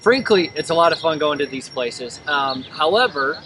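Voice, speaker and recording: A man's voice: a little high, expressive, normal speed. American man, blunt motivational talk outdoors. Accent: General American. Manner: blunt, self-mocking, preachy. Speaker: entrepreneur vlogger. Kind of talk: casual motivational monologue. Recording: distant mic with clear outdoor noise.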